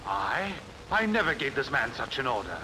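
A man's voice: mid-range voice, sing-song, moderate pace, sharp tone. A man playing a sly, accusing nobleman in a theatrical film dub, declamatory and insinuating. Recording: noisy old film soundtrack.

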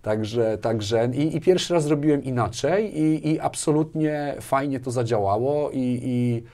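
A man in his forties with a medium-pitched voice, chatting as a guest in an interview. He circles back over small words as he thinks and often ends on a short question seeking agreement. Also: a little slow, dark tone. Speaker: interview guest, business manager. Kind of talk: casual conversational interview. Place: small furnished indoor studio, seated. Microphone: lapel mic.

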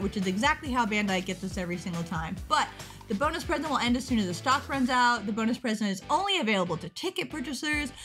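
An excitable young woman chatting at her webcam, jumping between thoughts and laughing at herself. Her voice sits a bit high and sounds tired. Faint music plays softly underneath. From United States. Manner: excitable, rambling, self-interrupting, laughs often. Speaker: model kit vlogger. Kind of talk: casual chat over a webpage.

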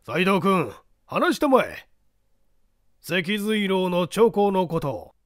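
A man voicing a smug, probing judge in an audiobook, deliberate and condescendingly genial as he questions a suspect. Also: high voice, big pitch swings, dry recording, clean studio sound, pitched above the performer's own voice, heavily acted. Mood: impatient. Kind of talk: dramatic audiobook character reading.

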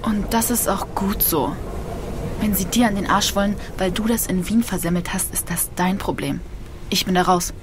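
A woman's voice: mid-range voice, fairly even intonation, normal speed, noisy background, lightly acted. An actress playing a defiant, grieving young woman in an audio drama, blunt, sarcastic and slangy. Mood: angry, accusing. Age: young adult. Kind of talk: naturalistic German audio drama acting.

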